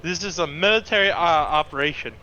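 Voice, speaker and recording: A man with a fairly high, bright and forceful voice trades casual banter while gaming. He is wry and teasing, and comes through a dull, lo-fi chat connection. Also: a little fast. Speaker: streamer's friend playing along. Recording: dull voice-chat sound, faint noise.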